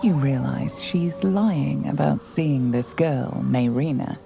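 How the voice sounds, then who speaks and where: A woman playing the solemn narrator of a fantasy video game, hushed and deliberate. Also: low voice, vocal fry, soft background music, heavily acted. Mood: knowing. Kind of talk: scripted second-person narration.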